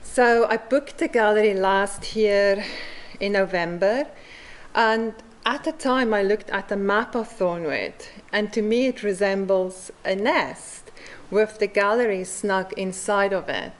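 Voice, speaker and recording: A woman with a South African accent presents her mosaic work at a forum. She speaks slowly and deliberately, with a mid-range voice, pausing for an "um" now and then. She sounds middle-aged. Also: mid-range voice. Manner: slow and measured, with frequent "um" pauses. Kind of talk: conference presentation.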